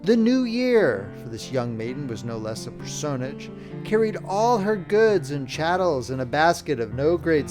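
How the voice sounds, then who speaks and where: Male storybook narrator, slightly high register, theatrical literary delivery, plucked guitar underneath. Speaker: audiobook narrator.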